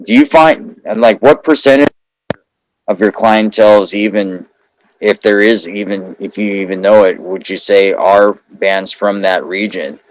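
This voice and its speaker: Man, podcast host, lowish voice, dry close sound, fumbling questions. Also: fairly even intonation, measured pace, dry recording, poor audio. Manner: stumbling, reworded questions. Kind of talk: casual interview.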